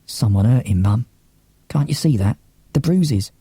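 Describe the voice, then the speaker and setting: A man's voice: mid-range voice, lively intonation, unhurried, dark tone, husky, dry recording, voice pushed down. A man voicing an eager, emotional child in an audiobook, speaking urgently and informally. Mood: urgent, pleading. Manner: urgent, informal, wide-eyed.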